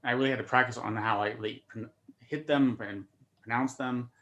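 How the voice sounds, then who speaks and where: A man's voice: mid-range voice, fairly even intonation, brisk, a bit bright, highs cut off, slight background noise. A man, a stand-up comedian, chatting casually on a video call and often repeating words as he starts his sentences. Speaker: comedian guest.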